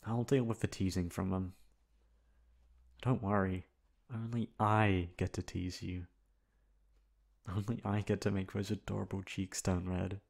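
Casting: male actor as dominant, flirty best friend turned lover for ASMR roleplay; low, gravelly, unhurried teasing. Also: little pitch movement, a little mellow, full character voice.

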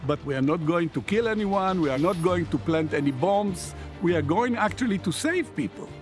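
A man in a documentary interview, recalling in a deliberate, earnest way. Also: high voice, lively intonation, faint music behind. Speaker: interviewee, former navy commando.

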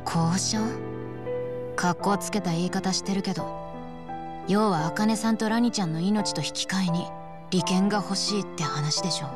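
A woman's voice: medium pitch, moderate pace, loud music behind the voice, close to an everyday voice. An actress playing a skeptical young woman in a video game, blunt and accusing. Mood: scornful. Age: young adult. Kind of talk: video game cutscene acting.

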